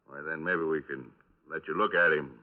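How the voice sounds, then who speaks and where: An actor playing a folksy, patient but probing police lieutenant in a 1950s radio crime drama. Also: male voice, rumbling low, fairly even intonation, moderate pace. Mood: coaxing. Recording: restored 1950s radio, narrow band.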